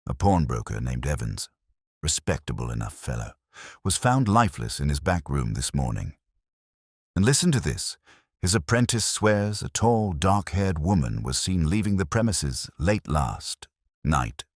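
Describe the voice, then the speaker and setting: Man acting a deep-voiced, agitated police inspector. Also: very animated, a little slow, no echo, clean studio sound, heavily acted. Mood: alarmed, urgent. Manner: emphatic, formal old-fashioned speech. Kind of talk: audiobook character dialogue.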